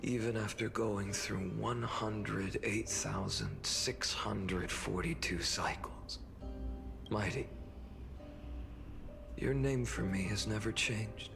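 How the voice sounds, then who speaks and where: A man voicing a weary, tragic but resolute hero in a dramatic video game, speaking in a solemn, measured, resigned way. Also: on the low side, steady tone, gravelly. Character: weary tragic male hero, resolute, burdened by countless cycles. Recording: very loud music under the voice. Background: game music.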